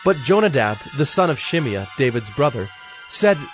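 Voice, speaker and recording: A man narrating scripture from a script in a measured, solemn storytelling manner. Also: mid-range voice, sing-song, faint music behind.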